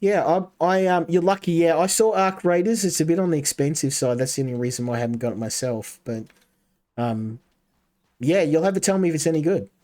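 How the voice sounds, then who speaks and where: A man's voice: a little high, brisk, darkish tone. A man streaming a game, chatting casually and rambling while talking to his chat. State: coming down with a cold.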